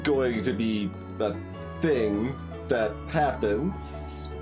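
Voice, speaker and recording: A man giving casual game commentary as a streamer, halting and thinking aloud as he chats with viewers. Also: mid-range voice, over music. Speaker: livestreamer, game playthrough host.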